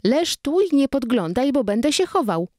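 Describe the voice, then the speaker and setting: A woman's voice: high voice, very animated, moderate pace, a little mellow, no room sound, clean studio sound, voice pushed up. A woman voicing an earnest, bossy, imaginative little toddler boy in a children's storybook.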